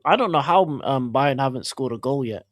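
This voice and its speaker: A man's voice: medium pitch, animated, brisk, no room sound, clean studio sound. A man chatting casually as a guest on a football stream, mostly giving short, agreeing replies. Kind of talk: casual chat.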